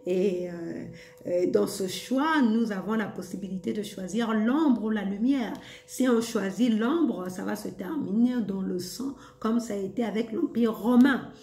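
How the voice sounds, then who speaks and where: A woman's voice: medium pitch, a little slow, mellow, roomy sound. A woman vlogger talking casually and reflectively to her viewers, hesitant with many fillers and frequent laughs. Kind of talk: casual spiritual monologue.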